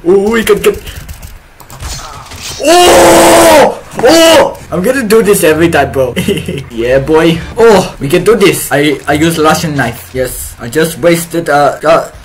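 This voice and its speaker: A young man giving joking commentary over his own gameplay in a put-on, broken-English foreign accent, breaking into loud excited yelps. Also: on the high side, slow pace, slight background noise. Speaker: streamer.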